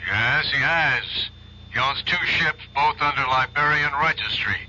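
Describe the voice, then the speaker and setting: A man's voice: mid-range voice, a little slow, sharp tone. An actor playing a blunt, grumpy male chief woken by a phone call in a radio drama. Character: gruff, sleepy male bureau chief. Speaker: boss on the phone. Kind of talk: 1970s radio drama acting. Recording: lo-fi old radio recording with faint hiss.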